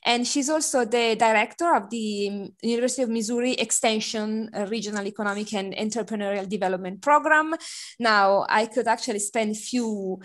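A woman's voice: on the high side, brisk, fairly bright tone. A woman in her forties hosting a seminar over a video call with a headset, chatty and hesitant with many fillers. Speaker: seminar host. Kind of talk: introducing a speaker on a video call. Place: home room by shuttered window.

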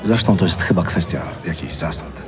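A well-off man in his forties in an audio drama. His voice is low and moves around a lot in pitch. He flatters and boasts with easy self-assurance, with music clearly behind him. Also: normal speed. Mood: confiding, opinionated. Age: middle-aged. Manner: smooth-talking, boastful, flattering. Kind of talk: naturalistic audio drama acting.

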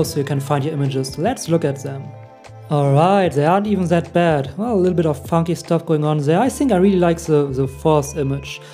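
A man in his thirties from Germany with a German accent, walking beginners through a software setup step by step in a friendly way. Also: on the high side. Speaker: tech tutorial host. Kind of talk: screen-recorded software tutorial.

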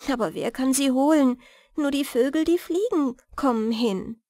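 A woman voicing a young witch's daughter in a fairy tale, soft, wistful and coaxing. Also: high voice, moderate pace, no echo, clean studio sound, voice pushed up, natural voice. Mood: wistful, longing.

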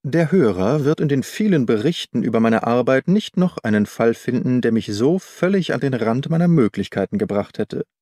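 Guy reading an audiobook, medium pitch, measured and carefully spoken, really dry studio. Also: lively intonation, mellow, no echo. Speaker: audiobook narrator. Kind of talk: scripted literary narration.

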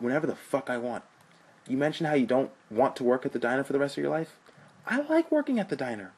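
A man's voice: lowish voice, vocal fry, husky. A man voicing a defiant young slacker older brother who talks angrily and swears. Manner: angry, swearing, defensive.

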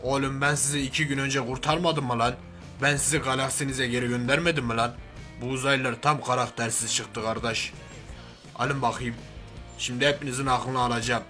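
A man's voice: medium pitch, steady tone, a little fast, pushed and bright, natural voice. A man voicing a crude, hot-headed, hairy oaf of a superhero in a comic game cartoon, with brash, slangy, grumbling street talk. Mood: angry, boastful. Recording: music behind the voice.

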